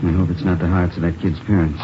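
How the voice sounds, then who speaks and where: An American actor playing a gruff, bitter, world-weary police chief in a 1950s radio drama. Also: male voice, sits low, flat delivery, fast, natural voice. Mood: bitter, indignant. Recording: dull noisy old radio recording.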